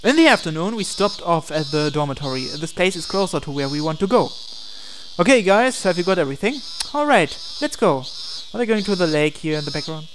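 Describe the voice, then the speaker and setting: A man's voice: a little high, very animated, brisk, background noise. A man reading a visual novel aloud in a narrated let's play, adding asides and occasionally stumbling over the text. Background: game music.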